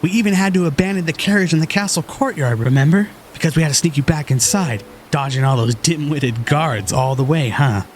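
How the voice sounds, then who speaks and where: A man's voice: a little high, a little fast, hardly any pauses, slight background noise, close to an everyday voice. An actor playing a modest, earnest young male hero in an audio drama. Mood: fond recollection.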